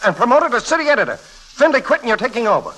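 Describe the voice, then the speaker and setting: An actor playing a brash, joshing newspaper boss, gruff but generous, in a punchy 1940s radio comedy style. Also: male voice, very high-pitched, big pitch swings, fast, a bit bright, full character voice, low-quality recording. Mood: brisk, hearty. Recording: vintage 1940s radio broadcast recording.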